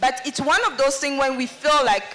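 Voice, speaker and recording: A woman's voice: sits high, fairly even intonation, moderate pace, fairly bright tone, rough recording. Outspoken woman. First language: Lingala. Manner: earnest, outspoken, passionate. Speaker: panelist. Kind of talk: panel discussion comment.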